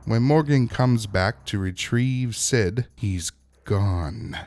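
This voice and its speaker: A man's voice: lowish voice, slow pace, a little mellow. A man hosting a scripted recap and review of a TV episode, narrating in a wry, opinionated way. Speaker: host of a TV episode recap show.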